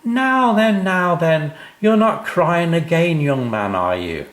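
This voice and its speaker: A kindly, nosy odd-job man from a children's storybook, as a male reader puts him on, pitched high and swooping. He is folksy and cheery, repeating his phrases, in a roomy space. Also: expressive, takes it slowly, a bit bright. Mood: cheerful, coaxing.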